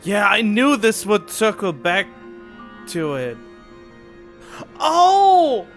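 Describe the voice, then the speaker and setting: A woman's voice: medium pitch, wildly swooping pitch, extremely slow, soft background music. A woman streaming a game, reacting casually and informally while thinking aloud and questioning herself.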